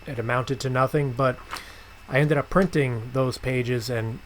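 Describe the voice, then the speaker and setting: A man's voice: medium pitch, steady tone, unhurried, slight background noise. A man speaking as a podcast host in a casual interview chat.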